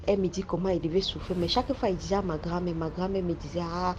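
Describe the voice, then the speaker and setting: Halting man. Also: high-pitched. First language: English. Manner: halting, searching for French words, many restarts. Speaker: story contributor. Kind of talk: telling a personal spooky story. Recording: dull lo-fi sound with faint noise.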